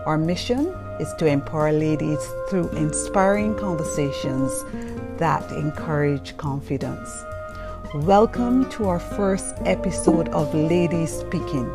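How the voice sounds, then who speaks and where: A woman host reading a formal, measured show introduction. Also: deep voice. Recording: voice-over on background music.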